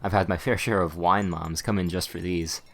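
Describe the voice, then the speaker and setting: A man's voice: low-pitched, steady tone, brisk, gravelly, a bit bright. A man playing a talkative, easily flustered male witch shopkeeper in an ASMR roleplay, rambling and stammering as he eagerly explains things. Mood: enthusiastic, rambling.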